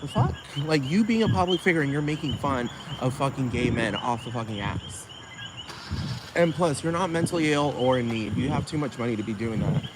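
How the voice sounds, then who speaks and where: Male vlogger, mid-pitched, ranting loosely with lots of swearing; noisy take with crickets behind. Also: lively intonation, fairly quick. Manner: repetitive, keeps asking "you know what I'm talking about". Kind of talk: rambling profane rant.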